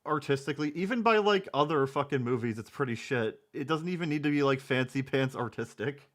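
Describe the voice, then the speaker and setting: A man's voice: mid-range voice, animated, a little fast, a bit bright. A man hosting a casual discussion, rambling and sarcastic, often restarting his sentences. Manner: rambling, sarcastic, repeats himself. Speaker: host. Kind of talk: casual podcast chat.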